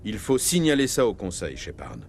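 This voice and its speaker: A commanding middle-aged starship captain in a video game. He is grave and measured and speaks with military authority. A faint rumble sits behind the voice. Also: huge pitch swings, gravelly, full character voice. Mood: urgent, grave. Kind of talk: dramatic video game dialogue.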